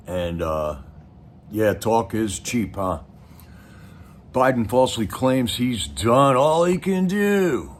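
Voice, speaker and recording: A man's voice: mid-range voice, big pitch swings, takes it slowly, slight background noise. A man hosting a livestream with casual, rambling, folksy commentary, speaking straight to his viewers. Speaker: livestream host.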